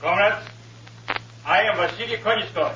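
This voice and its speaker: An actor playing an imperious Soviet agent, formal and declamatory, in a 1950s radio drama. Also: male voice, high voice, normal speed, lightly acted. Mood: solemn, commanding. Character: imperious Soviet agent addressing his party cell. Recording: roomy, noisy, muffled old radio recording.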